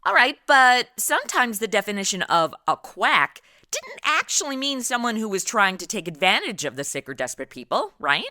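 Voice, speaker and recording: A woman's voice: fairly high pitch, sing-song, moderate pace, pushed and bright, no room sound, clean studio sound. A woman hosting a podcast in a breezy, slangy, casual interview style. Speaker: podcast host.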